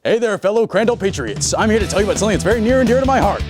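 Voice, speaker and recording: Young man, lively voice, playful and jokey, excitable, loud guitar music behind. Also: high-pitched, rapid-fire. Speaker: student presenter. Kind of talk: scripted school news segment.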